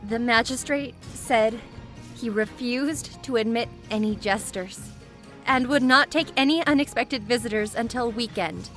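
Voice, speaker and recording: A woman's voice: a little high, a little slow, a bit bright. A woman playing a dutiful ninja messenger giving a formal report in a fantasy audio story. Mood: formal, troubled. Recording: music behind the voice.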